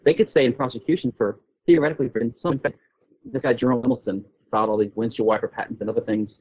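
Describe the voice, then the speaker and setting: A man's voice: mid-range voice, steady tone, rapid-fire, a little mellow, slightly rough. A man explaining as a guest expert in an informal discussion, often repeating and rephrasing his phrases. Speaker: guest expert on patent law. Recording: roomy, phone-like call audio.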